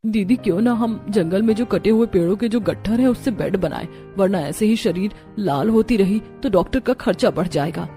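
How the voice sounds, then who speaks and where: A woman's voice: medium pitch, moderate pace, few pauses, mellow, faint music behind, lightly acted. A woman voicing a practical, resourceful young Indian daughter-in-law in a Hindi cartoon story. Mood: earnest.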